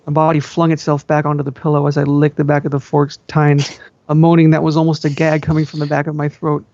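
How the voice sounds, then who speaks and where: A man's voice: on the high side, monotone, quick pace, a little mellow, no room sound. A man giving an amateur, melodramatic reading of story narration, occasionally tripping over words. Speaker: fan fiction reader. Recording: dull, dry lo-fi home recording.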